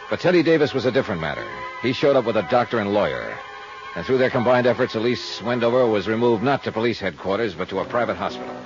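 A hard-boiled male investigator from vintage radio drama. He is low-voiced, terse and businesslike. The recording is dull and old, with prominent music. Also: animated, quick pace. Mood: terse, matter-of-fact. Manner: terse, matter-of-fact. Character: hard-boiled, no-nonsense male insurance investigator narrating cases. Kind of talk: 1950s radio detective acting and narration.

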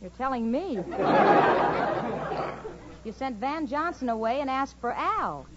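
A woman's voice: high voice, lively intonation, takes it slowly, over a noisy crowd, very noisy. An actress playing a sensible young woman in a 1940s radio sitcom, talking with weary, pleading exasperation. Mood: exasperated. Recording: dull old radio broadcast with audience laughter.

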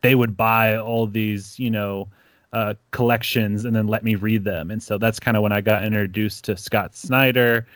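A man's voice: fairly low pitch, moderate pace, no echo. A young man from Ecuador, a comic creator guest, telling stories casually and acting out quotes with lots of "like". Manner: animated storyteller, acts out quotes, many "like"s. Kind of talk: casual interview chat.

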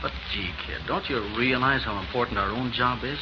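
An actor plays a level-headed businessman in a 1940s radio play. His voice is medium in pitch and his manner is measured and colloquial. The old recording is dull and noisy. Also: close to an everyday voice. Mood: reassuring. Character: steady, sensible business partner, calming and practical.

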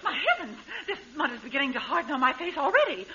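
A woman's voice: high-pitched, sing-song, quick pace, sharp tone, lo-fi sound, full character voice. A woman playing a haughty, gullible, easily flustered lady customer in 1940s radio comedy. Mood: flustered outrage. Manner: dramatic, flustered, indignant. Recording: thin hissy 1940s radio recording.

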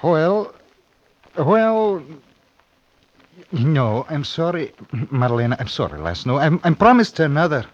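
An actor playing a passionate, hot-headed young suitor in a melodramatic 1950s radio style. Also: male voice, on the high side, big pitch swings, lo-fi sound. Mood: apologetic. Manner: melodramatic, halting, drawn-out delivery. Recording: vintage radio with faint noise.

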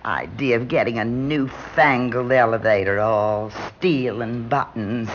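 A woman's voice: a bass voice, measured pace. A woman playing a tipsy, sentimental older lady in an old-time radio drama, confiding and fretful in a dithery manner. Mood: wistful, dithery. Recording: dull, hissy vintage radio recording.

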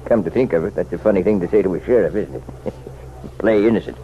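A man's voice: low voice, brisk, close to an everyday voice. An actor in an old-time radio drama playing an insistent, folksy townsman who offers pointed, chummy advice. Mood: sly, needling. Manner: folksy, chummy, leaning and persuasive. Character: insistent, insinuating townsman, nosy self-appointed helper to the sheriff. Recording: muffled, noisy vintage radio recording.

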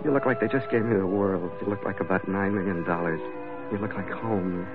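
A man's voice: deep voice, fairly quick, phone-quality sound. An actor playing a tough, lovestruck sea captain who tells his own adventure tersely in 1940s radio drama style. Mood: tender. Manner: hard-boiled, terse. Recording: thin vintage radio recording with music.

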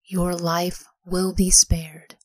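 A woman reading the words of an ancient king in a soft whisper. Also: fairly low pitch, little pitch movement, extremely slow, dry recording. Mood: solemn. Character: ancient king, older man, commanding yet merciful.

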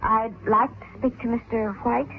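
An actress playing a guarded, calculating woman in an old radio mystery, hesitant yet deliberate in her delivery. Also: fairly high pitch, steady tone, natural voice. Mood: careful, calculating. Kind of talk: 1940s radio mystery acting. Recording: tinny, hissy old radio drama recording.

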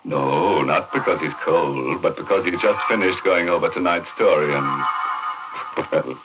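A man's voice: sits low, unhurried. A man playing a gleefully macabre horror host in 1940s radio style, mock-genial and theatrical. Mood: macabre glee. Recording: muffled vintage radio broadcast with music.

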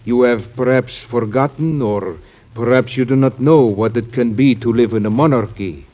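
An actor playing a grave, earnest visitor in an old-time radio drama, formal and polite. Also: male voice, medium pitch, normal speed, lightly acted. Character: earnest, secretive Spanish gentleman, grave and pleading visitor. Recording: muffled vintage radio recording.

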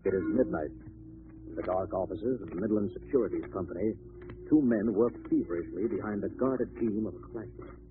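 A man's voice: deep voice, brisk. A man reading scripted announcements and narration in emphatic, formal vintage radio style. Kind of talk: 1930s-40s radio announcing, scripted narration and sponsor read. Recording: tinny old radio recording, faint noise.

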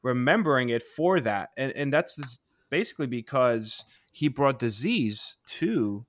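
A man's voice: medium pitch, animated, unhurried, dry recording, clean studio sound. A middle-aged man co-hosting a casual podcast, rambling with lots of fillers and restarts. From Poland. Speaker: podcast co-host. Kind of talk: casual chat.

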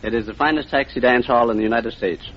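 A man's voice: mid-range voice, fast. A man from Kentucky answering questions politely as a contestant on an old radio quiz show. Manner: polite, addresses host as sir. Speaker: contestant, dance hall bouncer. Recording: dull old radio recording, faint noise.

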